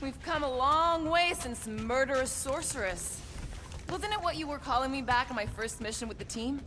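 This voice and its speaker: An actress playing a tough, practical former assassin in a video game, firm and matter-of-fact. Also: female voice, sits high, animated, moderate pace, sharp tone, slight background noise. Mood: dry, practical.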